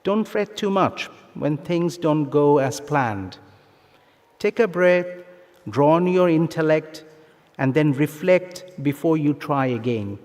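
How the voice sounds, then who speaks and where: A man with a fairly high voice delivers a formal ceremonial speech over a long hall reverb. He sounds earnest and encouraging, setting out measured phrases with emphatic pauses, like an official addressing graduates. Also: lively intonation, a little mellow. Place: large conference hall, live graduation ceremony.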